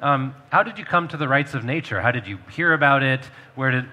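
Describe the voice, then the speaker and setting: A man moderates a conference panel, asking questions in fluent, articulate speech with the odd filler. His voice has a bright, forward tone. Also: medium pitch, brisk. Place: conference stage.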